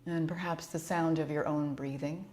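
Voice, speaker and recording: A woman leading a guided yoga relaxation as an instructor, speaking in a soothing, gentle and unhurried way. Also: sits low, fairly even intonation.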